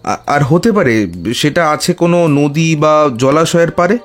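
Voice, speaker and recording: An actor playing a tantric who recounts his own eerie adventures in a suspenseful horror audio story, with hesitant pauses. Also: fairly high pitch, faint music behind, natural voice. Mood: pondering, suspenseful.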